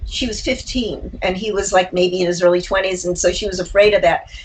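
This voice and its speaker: An older woman talking reflectively as an interview guest, a writer and actor who tells personal stories haltingly, with candid asides. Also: fairly low pitch, fairly even intonation, slight background noise, rough recording.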